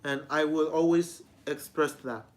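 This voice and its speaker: Halting young man with a highish voice. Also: steady tone. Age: 20s. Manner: halting, repetitive, frequently restarting sentences. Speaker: music reviewer. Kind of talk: rambling personal vlog talk.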